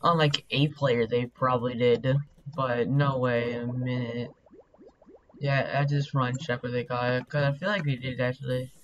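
A young man chatting casually while speedrunning a game, rambling with lots of fillers and quick asides, his voice sounding deep. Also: steady tone, lo-fi sound, a little hiss or hum.

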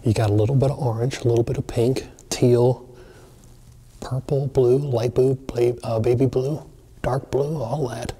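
Guy filming himself in a big empty house, excited and chatty, warm low-key tone. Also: moderate pace, breathy edge. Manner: excited, chatty, addresses viewers. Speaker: abandoned places explorer. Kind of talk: casual walkthrough narration. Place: large empty mansion, indoors.

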